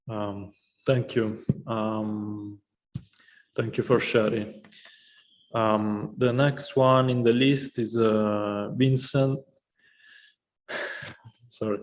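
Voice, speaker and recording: A man's voice: medium pitch, steady tone, extremely slow, pauses often. Hesitant man moderating an online talk. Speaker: host moderating an online presentation. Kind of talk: spontaneous moderating, informal questions. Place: online video call.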